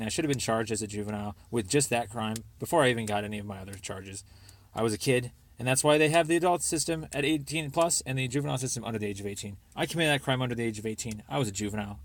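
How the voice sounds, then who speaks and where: A young American man leaving a rambling personal voicemail, speaking in an insistent, aggrieved and repetitive way. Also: medium pitch.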